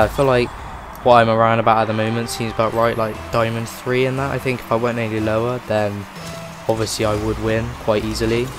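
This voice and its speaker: Man, rough voice from a cold, chatty rambling gameplay talk, flat delivery, game music clearly behind. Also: lowish voice. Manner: rambling, chatty, many "like" fillers. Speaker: gaming channel host. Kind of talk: casual gameplay commentary.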